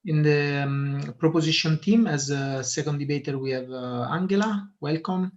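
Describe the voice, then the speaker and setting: Guy running an online debate, lots of uhs, kinda higher voice, muffled call audio. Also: moderate pace. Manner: hesitant, many fillers. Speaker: debate moderator. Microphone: video call.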